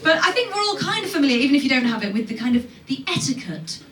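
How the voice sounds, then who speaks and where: A woman's voice: high-pitched, sing-song, quick pace. A woman in her thirties performing stand-up in a cheeky, conversational style, working the crowd in a live club. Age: 30s. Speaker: stand-up comedian. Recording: echoey, noisy live club, distant mic.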